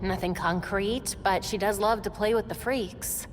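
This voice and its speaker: A woman's voice: mid-range voice, steady tone, unhurried, close to an everyday voice. A woman playing a determined, wry young female vigilante detective in a video game cutscene. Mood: frustrated, wry. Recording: noisy ambience, long hall reverb.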